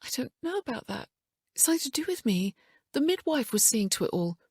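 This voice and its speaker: A woman's voice: medium pitch, moderate pace, gravelly, darkish tone, slightly rough, dry recording, studio quality, pitched above the performer's own voice. A woman voicing a fragile, grieving young mother who turns evasive and agitated under questioning. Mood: distressed. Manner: tense and evasive, voice rising when pressed.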